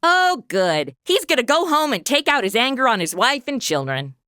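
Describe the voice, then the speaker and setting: Woman as a bright, sassy, high-voiced sceptic. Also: big pitch swings, moderate pace, no room sound, clean studio sound, exaggerated voice. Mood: sarcastic. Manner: sarcastic, argumentative. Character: sassy, sceptical young female commentator. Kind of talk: comedy audio drama commentary.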